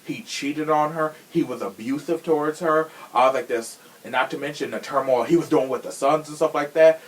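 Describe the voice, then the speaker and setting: A man's voice: on the high side, normal speed. Chatty, sassy man hosting a podcast. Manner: chatty, sassy, slangy, emphatic. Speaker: podcast host. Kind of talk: casual opinionated chat.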